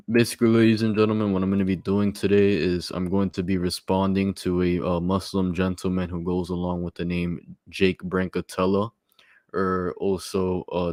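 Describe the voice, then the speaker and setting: A man with a low voice hosts a casual live stream. He has a minor stutter and often repeats words and pauses on fillers. The sound is dry and close, with no room echo. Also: darkish tone. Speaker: stream host. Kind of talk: casual livestream commentary.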